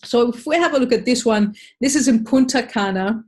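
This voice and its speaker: A woman's voice: a little high, brisk, darkish tone. An Australian woman giving a sales presentation in a webinar, upbeat and persuasive, speaking to a webcam from a home office.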